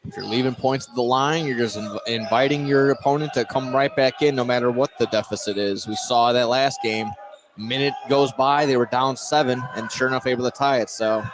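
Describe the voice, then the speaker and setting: A man's voice: medium pitch, fairly even intonation, fairly quick, crowd noise. An American man giving colour commentary on a live basketball game.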